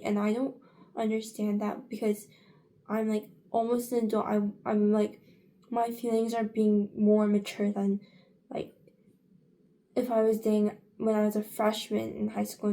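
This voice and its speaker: A woman's voice: on the high side, mellow. A young woman in her late teens chatting casually in a get-ready-with-me vlog, rambling with many fillers and restarts. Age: young adult. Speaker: vlogger.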